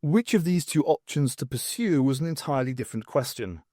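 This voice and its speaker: A man's voice: fairly high pitch, expressive, a little fast, warm tone, no room sound, studio quality. A man narrating a science fiction audiobook in a scripted, storytelling style.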